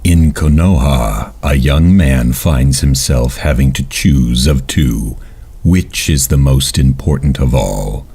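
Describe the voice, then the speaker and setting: A man with a gravelly, husky voice giving solemn scripted narration for an audio drama. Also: rumbling low, slow pace, warm tone.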